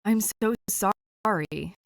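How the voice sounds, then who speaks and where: A woman's voice: mid-range voice, extremely slow, creaky, no echo, clean studio sound. A woman voicing a young man on a phone call who sounds wrung out and apologetic. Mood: weary.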